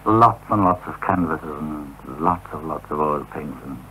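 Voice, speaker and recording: Middle-aged guy, really low voice, chatty and cheerful, hissy old cassette of a radio show. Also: unhurried, bright, forceful tone, rough recording. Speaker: guest. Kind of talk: radio interview. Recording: off-air cassette radio recording with faint hiss.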